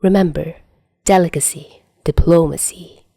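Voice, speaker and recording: A woman voicing a stern, composed female boss who gives orders in a firm, deliberate way in an audio story. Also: sits low, fairly even intonation, vocal fry, mellow, husky, no room sound, clean studio sound, full character voice. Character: stern, composed female superior giving orders to her subordinate.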